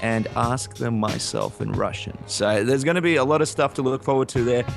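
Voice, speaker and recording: A man's voice: medium pitch, fairly even intonation, normal speed, creaky. Chatty man hosting over music. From Ukraine. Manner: chatty, laughs often, promotes channels. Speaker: podcast co-host. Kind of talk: casual chat.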